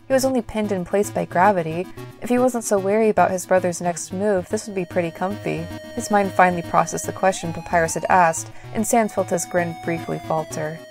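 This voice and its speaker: A woman's voice: lowish voice, creaky, a little mellow, rough recording. A woman reading scripted story narration as the narrator of an audio drama.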